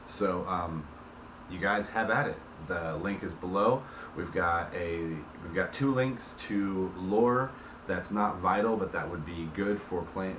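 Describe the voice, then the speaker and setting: A deep-voiced man gives a casual, informal explanation as a game master, checking in with his listeners as he goes. The recording is roomy and echoey, with audible noise behind him. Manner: informal, checks listeners follow with tag questions. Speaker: host explaining house rules as dungeon master. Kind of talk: casual explanatory talk to camera. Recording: echoey room with background noise.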